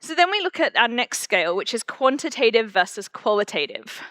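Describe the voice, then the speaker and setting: A woman's voice: a little high, big pitch swings, brisk, sharp tone, dry recording. A woman from Western Australia with an Australian accent, giving a chatty conference talk full of fillers. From Perth, Western Australia. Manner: chatty, self-deprecating, many fillers. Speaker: conference speaker. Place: conference room.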